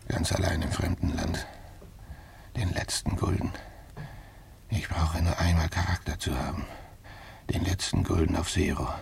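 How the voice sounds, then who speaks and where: Very deep-voiced, desperate gambler. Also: male voice, little pitch movement, a little slow, creaky, long pauses, darkish tone, slightly rough, exaggerated voice. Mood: obsessive brooding. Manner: brooding, repetitive, muttered inner monologue. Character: desperate, obsessive young male gambler alone abroad. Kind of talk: German radio drama acting. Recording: noisy vintage radio drama recording.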